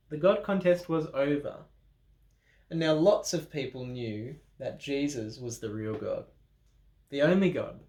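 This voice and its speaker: A man reading a children's Bible story aloud in an animated way, with dramatic pauses, expressive emphasis and playful asides. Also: medium pitch. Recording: roomy, echoing room sound.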